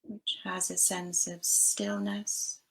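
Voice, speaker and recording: A woman leading a guided body scan meditation, giving gentle, unhurried instructions with long pauses between phrases. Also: medium pitch, level tone, creaky, rough recording. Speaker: meditation teacher.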